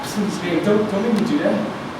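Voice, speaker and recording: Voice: mid-range voice, moderate pace, natural voice. An actor playing an anxious, cornered teenage boy in a staged reading, hesitant and pleading. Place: live public reading in echoey room. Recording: echoey, distant, noisy room.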